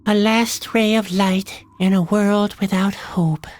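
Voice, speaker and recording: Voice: mid-range voice, steady tone, slow pace, natural voice. A performer playing an earnest, melancholy young male jester in a video game, hesitant, plaintive and stubbornly hopeful. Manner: hesitant, plaintive, self-doubting. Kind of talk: scripted video game acting.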